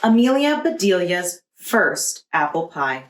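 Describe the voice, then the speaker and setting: A woman teacher reading a picture book aloud to young children with deliberate expression. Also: on the low side, sing-song, slight background noise. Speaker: preschool teacher. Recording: roomy echo.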